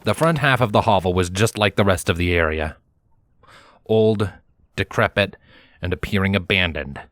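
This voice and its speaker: A man with a low voice narrates a fantasy tale. His delivery is scripted and dramatic, like an audiobook. The sound is dry, with no room echo. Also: normal speed, fairly bright tone.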